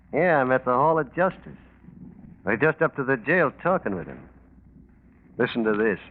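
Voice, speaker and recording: An actor playing a cocky, slangy small-time American crook in 1940s radio style. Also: male voice, medium pitch, natural voice. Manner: slangy, wisecracking. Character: cocky small-time crook, smug double-crosser, male. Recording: tinny 1940s radio recording.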